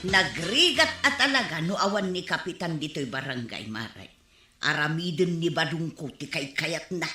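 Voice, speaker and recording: A woman's voice: sits low, expressive, moderate pace, pushed and bright, roomy sound, faint music behind. A woman playing a gossiping Ilocano neighbor. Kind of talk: radio comedy drama acting.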